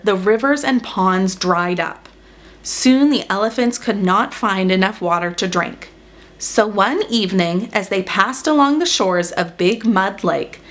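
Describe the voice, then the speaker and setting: A woman reading a children's picture book aloud as a storyteller, with dramatic emphasis on action words. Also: mid-range voice. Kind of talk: expressive children's story narration.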